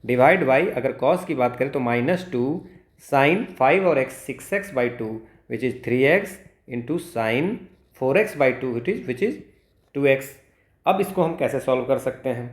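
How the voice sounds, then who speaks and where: A man's voice: mid-range voice, expressive, takes it slowly. A man with an Indian accent tutoring step by step, methodically asking questions and answering them himself. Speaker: maths tutor. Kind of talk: step-by-step exam problem explanation, Hindi-English mix. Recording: roomy, echoing voice recording.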